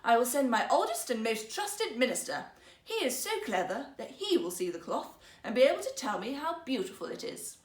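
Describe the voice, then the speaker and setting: A woman's voice: fairly high pitch, big pitch swings, moderate pace, reverberant, far from the mic. A woman voicing a vain, anxious emperor in a regal, exclaiming, theatrical manner for a fairy tale. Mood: proud. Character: vain, anxious male emperor hiding his doubts.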